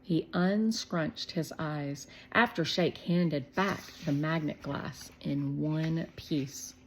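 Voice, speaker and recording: A woman narrating a children's storybook in an expressive, deliberate way. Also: low voice, slight background noise. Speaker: read-aloud narrator.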